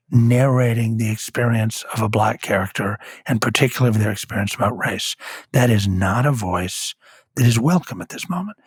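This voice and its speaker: A man speaking in a reflective discussion, making his points deliberately and with emphatic repetition. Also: medium pitch, breathy edge, no room sound. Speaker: theater discussion panelist.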